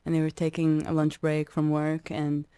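A young adult woman from Iraq, a musician guest in a radio interview, talking reflectively with many pauses and restarts. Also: sits low, completely flat delivery, warm tone, clean tone, no room sound.

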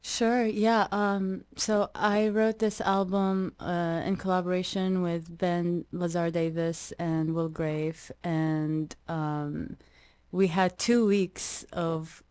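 A young adult woman from Iraq, a musician guest in a radio interview, talking reflectively with many pauses and restarts. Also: lowish voice, flat delivery, darkish tone, clear, resonant tone, no room sound.

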